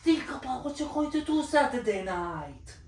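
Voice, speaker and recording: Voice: sits high, sing-song, normal speed, a little mellow. An actor in a comic skit playing a bossy, melodramatic film director with a put-on French accent, scolding and commanding. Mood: exasperated, scolding. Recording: roomy, echoing, distant mic.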